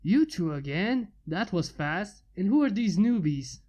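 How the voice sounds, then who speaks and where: A woman's voice: medium pitch, very animated, measured pace, warm tone, muffled recording. A woman voicing a smug male inventor, plainly in her own voice. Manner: smug, mocking.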